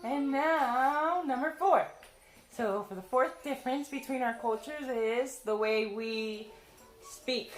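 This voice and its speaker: Bright-voiced woman from Cuba, roomy sound. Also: sits high, slow pace. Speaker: co-host of a family channel. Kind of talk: casual chat. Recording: roomy echo, faint music.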